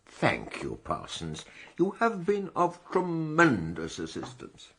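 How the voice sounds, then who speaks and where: An actor playing a brisk, dryly amused English chief constable in a 1920s radio mystery, with clipped authority. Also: male voice, a little high, huge pitch swings, a bit bright, a little hiss or hum, exaggerated voice. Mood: measured questioning. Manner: authoritative, clipped, dry questioning. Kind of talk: radio mystery drama acting.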